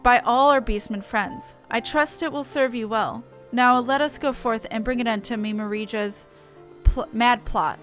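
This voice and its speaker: A woman voicing a brisk, officious fantasy-game ally in a formal, declamatory manner. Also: a little high, faint music behind, lightly acted. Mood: rousing.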